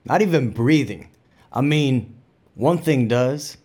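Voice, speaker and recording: An actor playing a playful, reflective Puerto Rican bandleader from New York in an audio drama monologue, mixing Spanish into streetwise, conversational storytelling with a Puerto Rican New York accent. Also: male voice, medium pitch, animated, takes it slowly, a little mellow. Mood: wry. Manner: Spanglish code-switching, streetwise, conversational storytelling.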